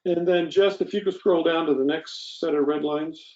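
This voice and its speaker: A man's voice: a little high, fairly even intonation, moderate pace, a little mellow, highs cut off. A man serving as a commission member, questioning points methodically in an informal meeting discussion.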